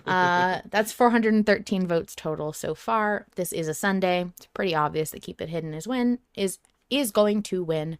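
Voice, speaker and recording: A woman's voice: on the low side, moderate pace, hardly any pauses, clean tone, no room sound, studio quality. A woman co-hosting a fan podcast in a casual, informal discussion style, swearing freely. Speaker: podcast co-host.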